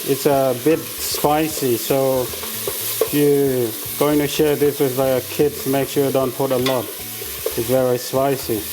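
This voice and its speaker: A man from South Sudan with a South Sudanese accent, demonstrating a recipe in his kitchen and explaining each step in an unhurried way, with many hesitant pauses. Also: mid-range voice, little pitch movement, background noise. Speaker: home cook. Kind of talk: cooking demonstration.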